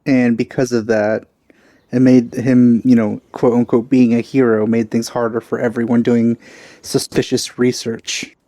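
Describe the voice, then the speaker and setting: A man's voice: medium pitch, little pitch movement, measured pace, warm tone, no echo. A man giving casual commentary while he plays a video game. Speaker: video game let's player.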